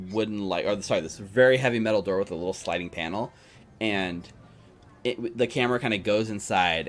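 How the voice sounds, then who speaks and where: Low-voiced rambling man running a tabletop game. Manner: rambling, peppered with "like" and "you know". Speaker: game master. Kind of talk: improvised narration of a tabletop game, casual.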